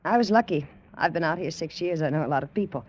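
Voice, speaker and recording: An actress playing a self-assured American woman doctor in an old radio drama, brisk, confident and lightly teasing. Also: low-pitched, lively intonation, slightly rough. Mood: brisk, professional. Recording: dull old radio recording.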